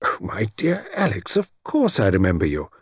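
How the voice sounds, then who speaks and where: A man voicing a courteous, fussy older gentleman in an audiobook, with old-fashioned, hesitant politeness. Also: fairly low pitch, wildly swooping pitch, normal speed, breathy edge, no room sound, clean studio sound, heavily acted. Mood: gracious, eager.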